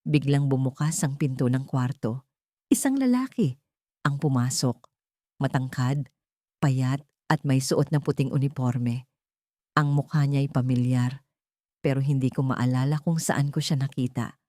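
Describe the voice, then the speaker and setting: A woman narrates a scripted horror story in the first person. Her voice sits low and mellow, recorded very dry and close. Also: measured pace, pauses often, no room sound.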